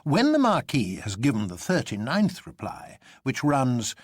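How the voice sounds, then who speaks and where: A man voicing an exuberant, unflappable gentleman in a storybook reading, speaking pompous nonsense with grand deliberation. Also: mid-range voice, wildly swooping pitch, unhurried, breathy edge, dry recording, studio quality, voice pushed up, exaggerated voice. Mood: gleeful.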